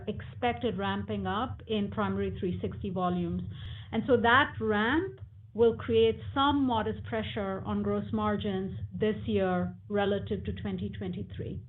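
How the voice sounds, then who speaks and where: A woman's voice: medium pitch, steady tone, a little slow. A woman executive answering questions off the cuff on an earnings call, explaining things with many hesitations. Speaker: company executive. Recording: tinny phone-like conference call line.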